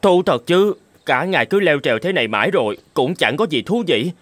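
A man's voice: fairly high pitch, lively intonation, fairly quick, a bit bright, dry recording. A man voicing a restless, wistful dog in a children's cartoon, speaking eagerly and expressively. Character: restless, wistful male dog wishing to change.

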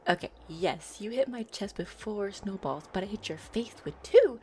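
A woman's voice: mid-range voice, animated, moderate pace, warm tone, slight background noise, natural voice. A young woman voicing a playful, affectionate neko girlfriend in an audio roleplay, giggly and teasing as she talks.

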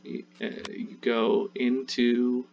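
A man hosting a casual gameplay tutorial, chatting in a relaxed way as he plays, with game sounds faintly behind. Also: medium pitch, takes it slowly, gravelly, highs cut off, background noise. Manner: relaxed, chatty, talks while playing. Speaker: gaming channel host.

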